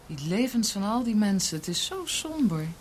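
An actress playing an anxious, devoted young niece in a radio drama, earnest, questioning and deferential. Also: female voice, mid-range voice, sing-song, normal speed, a little hiss or hum, close to an everyday voice. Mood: troubled. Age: young adult.

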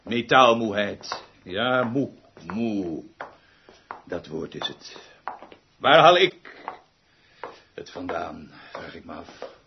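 An actor playing an eccentric, world-weary, self-important conductor in a radio play, rambling theatrically and repeating himself. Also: male voice, on the low side, lively intonation, takes it slowly, gravelly, long pauses, full character voice. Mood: weary, self-pitying. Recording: dull, noisy radio drama recording with clicks.

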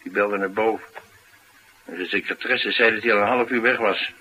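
An actor playing a streetwise, talkative driver, confiding and musing in a colloquial radio drama style. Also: male voice, lowish voice, steady tone, brisk, pushed and bright. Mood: brooding, puzzled. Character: streetwise, talkative male driver, wry and brooding. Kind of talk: naturalistic radio drama acting. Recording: noisy radio drama recording.

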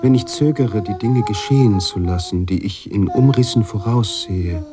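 A man playing a brooding, contemplative narrator-author in a literary radio drama, speaking deliberately with pauses between phrases. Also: lowish voice, music in the background, natural voice. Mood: musing. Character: brooding male narrator-author, contemplative, troubled by his heroine.

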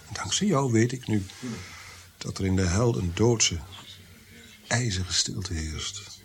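A low-voiced, guilt-ridden nobleman in a radio play, bitter and menacing. He speaks theatrically and broods, with clear hiss behind him. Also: lively intonation, slow pace. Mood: brooding, anguished. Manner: brooding, theatrical, drifting into whispers. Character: tormented guilt-ridden prince-composer, bitter, menacing, fearing God.

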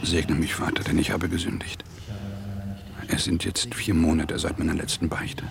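A hard-boiled police detective in a radio crime drama. The voice sits very low with a gravelly fry, over faint background noise. He sounds worn down and angry, speaking in terse, brooding phrases. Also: steady tone, moderate pace, exaggerated voice. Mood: confessing, tense. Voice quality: deep, gravelly. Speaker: actor, narrator and lead. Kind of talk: radio crime drama, intimate first-person monologue and dialogue.

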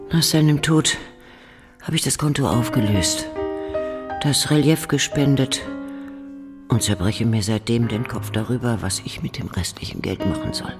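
A woman playing a troubled, candid figure in a radio drama, confiding, over loud background music. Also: rumbling low, unhurried, pauses often. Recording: loud music bed behind voice.

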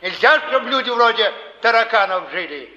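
An actor playing a smug, bullying older flophouse keeper on stage, with a drawn-out, sanctimonious, needling delivery. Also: male voice, high voice, lively intonation, pushed and bright. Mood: needling, preachy. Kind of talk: theatrical stage acting. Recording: dull archival stage recording, long echoing hall.

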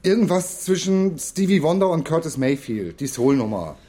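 A flustered, colloquial young man out of his depth in a crime radio play. His voice is husky and swings in pitch, and he sounds hesitant and evasive. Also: big pitch swings, moderate pace, dark tone. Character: hapless, flustered, slacker-like young man in trouble. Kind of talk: naturalistic radio drama acting.

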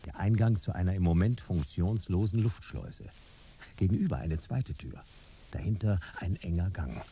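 An actor playing a hard-boiled private detective who narrates a sci-fi radio play in terse, wry, dramatic fragments. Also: male voice, sits low, lively intonation, moderate pace, dark tone, a little hiss or hum. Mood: wry, matter-of-fact.

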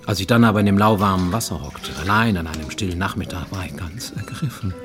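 An actor playing a brooding, lonely man confessing his troubled youth in a radio play, halting, intense and confiding, often close to whispering. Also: deep voice, natural voice. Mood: intense, haunted. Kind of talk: dramatic first-person monologue in a radio play. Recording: studio radio play with music underneath.

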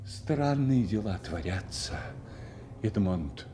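An older actor playing a loyal, secretive old nobleman, confiding gravely in a theatrical radio drama. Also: fairly low pitch, expressive, takes it slowly, noisy background. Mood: resolved, secretive.

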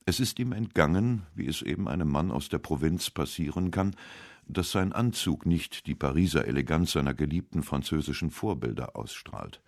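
A man reading scripted narration for a radio essay in a measured, literary way. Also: a bass voice, animated, creaky, darkish tone, dry recording, studio quality.

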